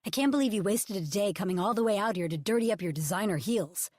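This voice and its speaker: Brief: woman voicing a gruff, growling ex-star man, blunt and loud, husky tone, audiobook. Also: medium pitch, animated, fast, no echo, clean studio sound, natural voice. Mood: angry. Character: gruff angry man, former Hollywood star.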